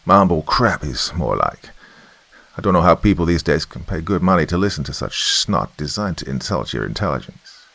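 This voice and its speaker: A man voicing a muscular, stern and scornful army sergeant with a deep Nigerian accent, blunt and barking. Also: sing-song, moderate pace, creaky, a bit bright, no room sound, exaggerated voice.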